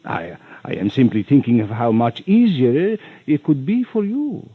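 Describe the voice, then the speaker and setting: An actor playing a rich, unctuous villain in an old radio drama, florid and over-polite with hesitant stammers and veiled menace. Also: male voice, medium pitch, wildly swooping pitch, a little slow, husky, no room sound, full character voice. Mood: flustered, apologetic. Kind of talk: 1940s-50s radio drama acting. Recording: muffled old radio recording.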